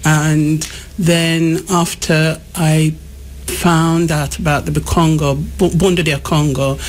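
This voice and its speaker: A woman's voice: low voice, level tone, slow, slight background noise. A woman, born in Jamaica, talking reflectively as a studio guest on a radio show. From born in Jamaica, raised in England. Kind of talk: conversational interview.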